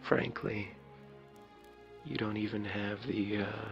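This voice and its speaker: A man with a low, crackly, raspy voice records ASMR game commentary. He is soft-spoken and meanders hesitantly as he shows things off. The sound is muffled and lo-fi, with game music clearly playing behind him. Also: fairly even intonation, slow. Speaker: video creator showing his game builds. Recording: dull lo-fi mic, clear background music.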